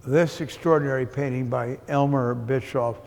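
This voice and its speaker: A man in his eighties gives an informal gallery tour in a halting, deliberate way with a dry, joking manner. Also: mid-range voice, fairly even intonation, creaky, darkish tone. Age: 80s. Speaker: art gallery owner giving an exhibition tour. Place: indoors in an art gallery. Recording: long echo of a hard-walled gallery.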